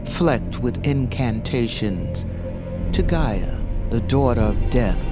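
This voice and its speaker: Solemn male narrator, mid pitch, slow measured delivery, audio drama legend, loud music. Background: prominent music.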